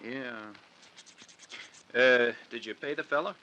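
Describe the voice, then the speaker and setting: Bright-voiced, laconic accomplice. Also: male voice, mid-range voice, slow, muffled recording. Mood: casual, curious. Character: casual, uneasy accomplice in a scheme. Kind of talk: 1940s radio acting. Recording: faintly noisy vintage radio recording.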